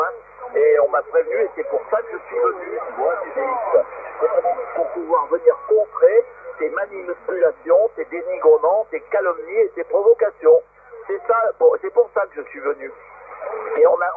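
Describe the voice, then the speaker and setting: A man with a high voice talks as an amateur radio operator in an informal exchange. The sound is thin and phone-like, carried over shortwave radio. Light static noise sits behind the speech. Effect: shortwave radio transmission. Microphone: radio transceiver microphone. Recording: narrow, tinny, noisy shortwave radio link.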